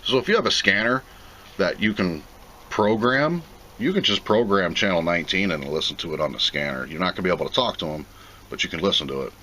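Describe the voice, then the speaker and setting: Low-voiced man rambling casually. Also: expressive, fast, pushed and bright, poor audio. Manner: rambling, plain-spoken, thinking aloud. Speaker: video host reviewing a product. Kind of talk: casual unboxing commentary. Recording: clear background noise.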